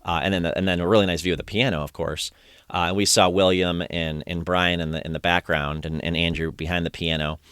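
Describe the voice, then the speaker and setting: A man's voice: low-pitched, level tone, fairly quick, a bit bright, clear, resonant tone, no room sound, studio quality. A man hosting a podcast, chatting casually and enthusiastically with many restarts, his voice a bit strained from singing. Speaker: podcast host. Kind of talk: casual conversational recap.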